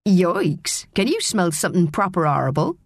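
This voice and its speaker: A woman's voice: mid-range voice, normal speed, dry recording, clean studio sound, close to an everyday voice. A woman doing a brash, salty-tongued mermaid. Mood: disgusted. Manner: blunt, old salty sailor slang.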